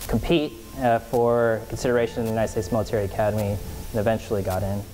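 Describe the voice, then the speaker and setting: Matter-of-fact army officer man, lowish voice. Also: quick pace, soft background music, poor audio. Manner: steady, matter-of-fact with fillers. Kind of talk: documentary interview.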